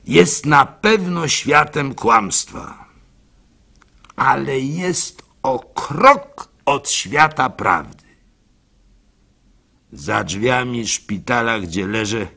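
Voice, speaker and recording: A man performs a weary, trusting old wanderer in a radio play monologue. His low voice is gravelly and moves through wide, theatrical swings. He draws his words out slowly, like a storyteller. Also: bright, forceful tone, exaggerated voice. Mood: solemn, resigned. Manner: theatrical, slow, widely swinging delivery with drawn-out words. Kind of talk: dramatic first-person monologue, radio play.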